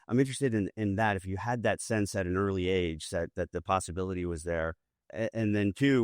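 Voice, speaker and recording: A man hosting a veteran podcast, reading a scripted intro and then asking interview questions in a steady, measured way. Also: lowish voice, smooth, no room sound, clean studio sound. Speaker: podcast host.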